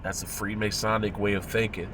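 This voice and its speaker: A man in his thirties with a cold, sounding nasal and congested, speaking in a measured, moralizing way as he comments on a video. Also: fairly low pitch, level tone, heavy background noise. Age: 30s. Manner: measured, moralizing, pausing often. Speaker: religious commentator.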